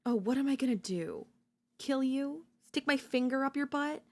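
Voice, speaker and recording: A woman playing an obsessive, domineering yandere in a dark ASMR roleplay, seductive and smug, slow and teasing, slipping into whispers. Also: sits high. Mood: gloating, excited. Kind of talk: dark ASMR audio roleplay, intimate dramatic acting.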